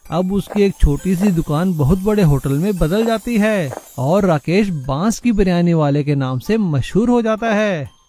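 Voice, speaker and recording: A man's voice: high voice, mellow. A man reading scripted narration for a moral story. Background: music.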